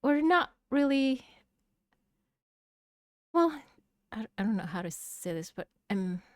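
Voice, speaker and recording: A woman's voice: fairly high pitch, big pitch swings, takes it slowly, no room sound, clean studio sound. A woman from Indonesia, an English speaker, answering interview questions hesitantly with many fillers. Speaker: guest, real estate investor. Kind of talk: casual interview.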